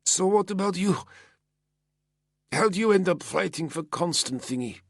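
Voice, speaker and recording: A man doing a dying soldier, weak and gravelly. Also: high-pitched, expressive, no echo, studio quality, pitched above the performer's own voice, full character voice. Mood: wry, strained. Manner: slow, grinning gallows humor. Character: dying wounded male enemy soldier, wry and friendly.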